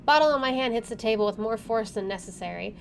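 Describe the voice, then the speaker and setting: A woman's voice: fairly high pitch, animated, fast talker, gravelly, soft background music. A woman playing a visual novel, reading the story aloud and dropping in casual asides. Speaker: game player, channel host.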